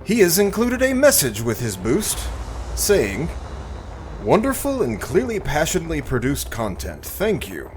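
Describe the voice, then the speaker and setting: A man performing as the storytelling narrator of a space adventure audio drama, measured and theatrical in delivery. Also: on the high side, huge pitch swings. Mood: dramatic, inviting. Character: storytelling future-historian host of a space adventure series. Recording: music under the voice.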